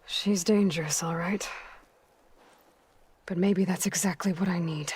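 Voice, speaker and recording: A woman's voice: on the low side, fairly even intonation, measured pace, darkish tone, slight background noise, lightly acted. A woman playing a determined, guarded young warrior heroine in a video game, with firm, terse, dry delivery. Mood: resolute. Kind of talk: dramatic video game acting.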